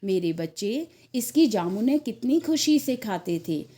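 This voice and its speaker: Woman voicing wistful male clerk, lively intonation. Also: medium pitch, measured pace, lightly acted. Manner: nostalgic, lamenting. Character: wistful male office clerks fond of fruit.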